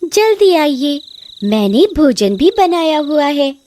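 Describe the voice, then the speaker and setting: A woman playing a sly, high-pitched flirty witch. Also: sing-song, dark tone, a little hiss or hum, exaggerated voice. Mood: gleeful, luring. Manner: coaxing, teasing, drawn-out calls. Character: sly flirtatious female witch luring men into a well.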